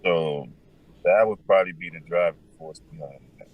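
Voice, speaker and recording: A man with a deep, powerful voice, answering interview questions in a relaxed, thoughtful way with many pauses. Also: phone-quality sound. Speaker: musician guest.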